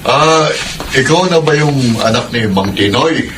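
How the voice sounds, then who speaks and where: A Filipino actor playing a genial, welcoming head of the household in a radio drama. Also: male voice, medium pitch, expressive, measured pace, background noise, natural voice. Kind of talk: Tagalog radio drama acting.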